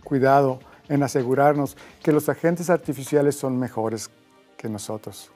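A man in his fifties answering interview questions about technology in a measured, deliberate way. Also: mid-range voice, steady tone, a little mellow, faint music behind. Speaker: tech expert.

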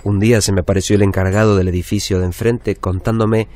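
A man's voice: fairly low pitch, level tone, quick pace, mellow, lightly acted. An actor playing a wistful forty-year-old bookshop owner with a Rioplatense Argentine accent, narrating his routine life in a reflective radio drama. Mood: pondering, absorbed. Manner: reflective, storytelling, self-correcting. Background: music bed.

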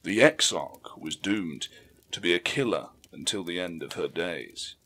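Male narrator, fairly low register, for dramatic fiction audiobook; deliberate, solemn storytelling. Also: expressive, bright, forceful tone.

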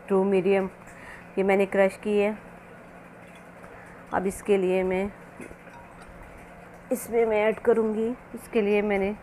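A woman's voice: mid-range voice, darkish tone. Lady talking thru a recipe at home, repeats stuff, bit of background noise. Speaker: home cooking channel host. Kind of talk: casual recipe demonstration narration. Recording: noisy amateur home recording.

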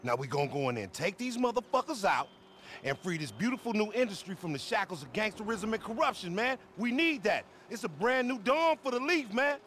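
A man's voice: sits high, sing-song, fairly quick, fairly bright tone, a little hiss or hum. A man playing a boastful, foul-mouthed young hustler in a video game cutscene, with an American accent and slangy, cajoling banter. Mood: indignant, preachy.

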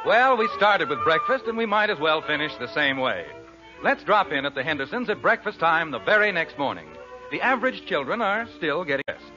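Male American 1940s radio announcer, animated scripted delivery, music behind. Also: fairly high pitch, brisk. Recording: dull vintage radio recording. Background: prominent music.

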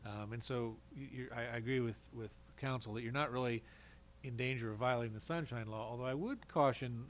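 A man's voice: mid-range voice, a little fast. A man advising a council in a public meeting, explaining things carefully, step by step.

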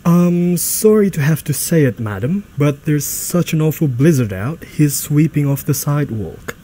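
An actor playing a deferential, slightly anxious butler in a radio drama, polite and hesitant. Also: male voice, a little high, animated, normal speed, warm tone. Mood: apologetic.

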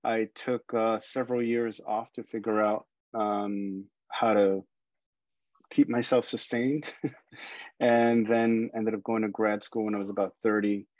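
A man's voice: on the low side, little pitch movement, a little slow, a little mellow, no room sound, dull audio. A man in his forties from Ecuador, an artist speaking as a guest on a video call, reflecting thoughtfully on his life. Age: 40s. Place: home room.

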